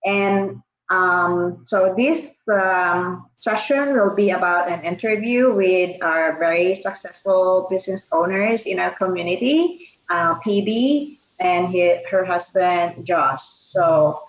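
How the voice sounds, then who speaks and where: Hesitant woman host in her 50s; slow, roomy lo-fi call audio. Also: lowish voice, expressive, slight background noise. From Philippines. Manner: hesitant, many pauses and fillers. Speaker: interview host. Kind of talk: casual online interview. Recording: echoey, dull-sounding room.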